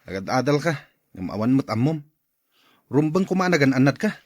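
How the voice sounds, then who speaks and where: A slick Filipino man, played by an actor in a radio drama, quick to excuse himself. His voice is husky, mid-pitched and very animated in melody. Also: no room sound. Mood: defensive.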